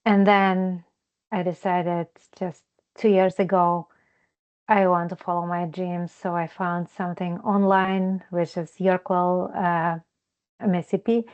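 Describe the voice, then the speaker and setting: A woman from Ukraine introducing herself to a group over a video call, halting and searching for words. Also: lowish voice, little pitch movement, clear, resonant tone. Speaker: counseling student. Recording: dull lo-fi video call audio.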